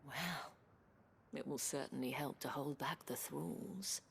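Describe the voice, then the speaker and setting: A woman's voice: deep voice, slow pace, gravelly, a little hiss or hum, heavily acted. An actress playing a dignified, principled noblewoman in a fantasy game, with poised, formal and eloquent speech. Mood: resigned, sorrowful. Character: dignified noblewoman leading a town, wistful, principled. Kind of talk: scripted game dialogue.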